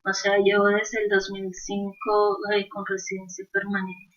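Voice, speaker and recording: Woman from Colombia, slow hesitant talk, far from the mic, dull lo-fi recording. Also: medium pitch, monotone, background noise. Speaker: interviewee, systems engineer.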